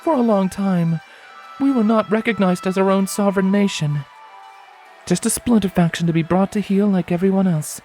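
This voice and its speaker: A man's voice: high-pitched, moderate pace, mellow, soft background music, pitched above the performer's own voice, natural voice. A man voicing a guarded, protective adult mare, a pony in a fantasy story, with an earnest, firm manner. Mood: awestruck, explaining.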